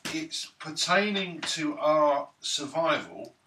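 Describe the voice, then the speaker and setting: A man's voice: on the high side, expressive, takes it slowly, bright, forceful tone. A man bantering casually as a player at a tabletop game. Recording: roomy, distant mic, faint table noise.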